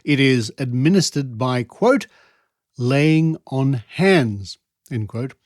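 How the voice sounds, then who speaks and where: An Australian man presents a podcast at a medium pitch, recorded close and dry. He talks in a conversational, wry and musing way, pausing deliberately to stress a point. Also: expressive, a little mellow, dry recording. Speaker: podcast host. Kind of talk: conversational podcast monologue with read-out passages.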